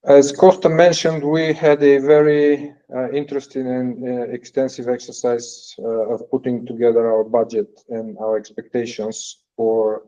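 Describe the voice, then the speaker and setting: Dark-voiced man presenting company financial results. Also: medium pitch. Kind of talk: earnings call presentation. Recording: dull, lo-fi call audio.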